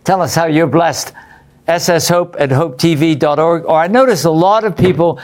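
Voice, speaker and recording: A man's voice: fairly high pitch, normal speed, a little mellow. A man hosting a religious study program, reading out viewer letters and putting questions to his group and viewers.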